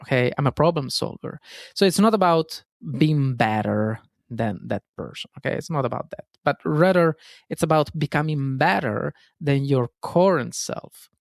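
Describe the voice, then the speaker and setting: A man with an Italian accent speaks alone as a podcast host, with a gravelly edge to his voice. He talks earnestly, often stops to correct himself, and keeps the listener close with casual fillers. The sound is dry and close, with no room echo. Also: mid-range voice, animated, moderate pace. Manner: earnest, self-correcting, frequent fillers and "guys". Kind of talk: solo self-help podcast monologue.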